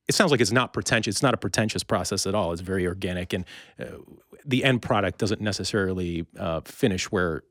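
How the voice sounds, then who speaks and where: A man hosting a conversational interview, with halting, reflective and wordy phrasing. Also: low voice, dry recording, studio quality. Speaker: interview podcast host.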